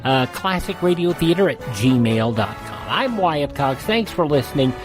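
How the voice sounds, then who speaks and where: A man's voice: a little high, very animated, a little slow, over music. An American man hosting a radio show, talking casually and directly to listeners.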